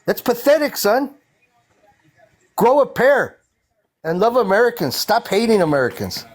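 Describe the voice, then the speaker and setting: A man's voice: high-pitched, very animated, measured pace. Man in his forties, heated caller, ranting, combative, cuts people off. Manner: combative, ranting, talks over others. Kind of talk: heated political debate.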